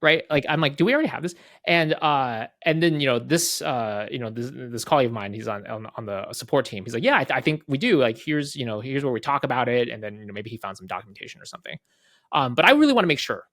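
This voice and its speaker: A man with a mid-pitched voice gives an informal software walkthrough at a brisk clip. He explains conversationally, regularly checking that the listener follows and acting out short bits of dialogue. The sound is dry, with very little room. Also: lively intonation, no echo. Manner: conversational, often checks in with tag questions and acts out short exchanges. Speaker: guest product leader giving a software demo. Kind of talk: casual off-the-cuff product walkthrough.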